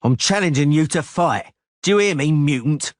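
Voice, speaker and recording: A man voicing a brash, hot-headed young thug who jeers and taunts loudly. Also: medium pitch, very animated, moderate pace, no room sound, studio quality, pitched above the performer's own voice. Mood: taunting. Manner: jeering, loud, challenging. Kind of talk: audiobook character voice.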